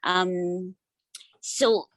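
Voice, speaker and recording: A woman in her forties from the Philippines, sharing personally at a support meeting, pausing often with many ums. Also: on the low side, fairly even intonation, fairly bright tone, dry recording. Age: 40s. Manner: hesitant, many ums and pauses.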